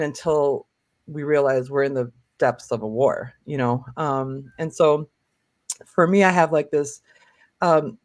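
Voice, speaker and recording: A woman around fifty, raised in Michigan, talks as an interview guest in a casual, halting storytelling way. Her speech is peppered with small filler phrases, and the sound is close and dry, without room echo. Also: low-pitched. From born in Lebanon, raised in Michigan. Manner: hesitant, peppered with "you know" and "like".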